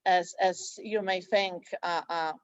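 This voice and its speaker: Non-native English-speaking woman from Poland, school director in an interview; halting delivery with frequent fillers. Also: lowish voice, fairly even intonation, no room sound, dull audio. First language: Polish. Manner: hesitant, many fillers and restarts. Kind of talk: interview conversation.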